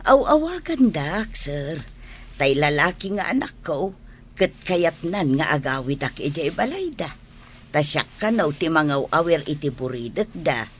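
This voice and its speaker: A woman's voice: deep voice, very animated, measured pace, slight background noise. A woman with a Filipino Ilocano accent playing a humble, plaintive older maid who is deferential to her employer in a radio drama. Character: humble, aggrieved older maid, a mother of grown children.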